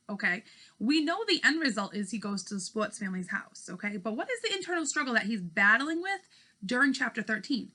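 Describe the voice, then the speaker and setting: A woman's voice: a little high, bright, forceful tone. A woman reading aloud and explaining like a schoolteacher, stressing key words and checking in with her listeners. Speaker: teacher reading aloud to fifth graders. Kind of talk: read-aloud lesson, storybook narration and informal explaining.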